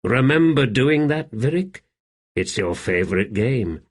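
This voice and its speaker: A man voicing a shrewd slave in an audiobook, calm, deferential and measured, speaking quietly. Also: medium pitch, voice pushed up. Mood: calm, coaxing. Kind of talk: audiobook character acting.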